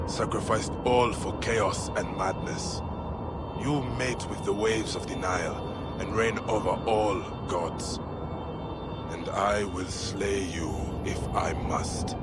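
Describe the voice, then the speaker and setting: Casting: weary, honourable Egyptian warrior-protector, deep-set solemn delivery, game cutscene under heavy score. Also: male voice. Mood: grave, defiant. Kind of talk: dramatic video game acting.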